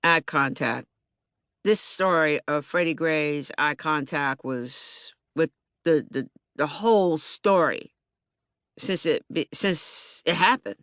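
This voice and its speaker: A woman's voice: low-pitched, slow, fairly bright tone, no echo, clean studio sound, voice pushed down. A woman performing a theater monologue as an agitated, streetwise young man, speaking emphatically and colloquially with stammered repetitions. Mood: grave, deliberate. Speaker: actor in documentary theater. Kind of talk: dramatic monologue, audiobook theater.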